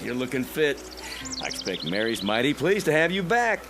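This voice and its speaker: An actor playing a genial, folksy small-town hunter and neighbour in a radio drama, chatting in clipped questions. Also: male voice, medium pitch, very animated, moderate pace. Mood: friendly, curious. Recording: radio drama with music behind.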